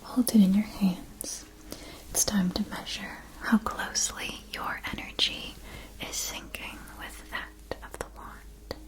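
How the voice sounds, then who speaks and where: Woman playing a softly whispering, gentle witch-school wand seller. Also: mid-range voice, steady tone, lightly acted, low-quality recording. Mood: soothing, instructive. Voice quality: breathy whisper. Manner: slow, soothing, deliberate, with hushed repetitions. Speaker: ASMR performer. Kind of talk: whispered ASMR roleplay, scripted.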